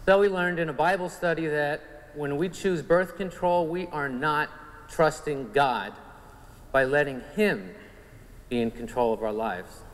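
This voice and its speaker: A man's voice: fairly high pitch, measured pace. A man in his forties with a Long Island New York accent, earnestly telling his personal faith story to a men's conference in a church. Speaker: conference speaker giving testimony. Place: large church sanctuary. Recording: church hall reverb, faint room noise.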